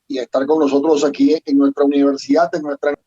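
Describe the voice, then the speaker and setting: Male forum host, fairly high voice, halting introductory delivery, slightly muffled online audio. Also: level tone, dark tone, breathy edge. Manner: halting, repeats phrases as he goes.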